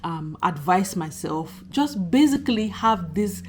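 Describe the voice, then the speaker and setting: A woman in her thirties with a slightly husky, croaky voice from a recent cold, chatting earnestly to her subscribers in a casual vlog at home. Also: lowish voice, expressive, unhurried, darkish tone, a little hiss or hum. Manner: earnest, chatty, emphatic repetition. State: recovering from a cold. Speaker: YouTuber talking to subscribers. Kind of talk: casual reflective vlog monologue.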